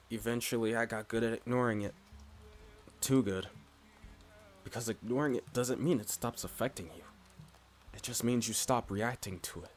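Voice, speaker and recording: A man playing a blunt, steady, reassuring furry character in a scripted ASMR roleplay, speaking in short, deliberate phrases. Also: mid-range voice, close to an everyday voice. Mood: somber, reflective. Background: music.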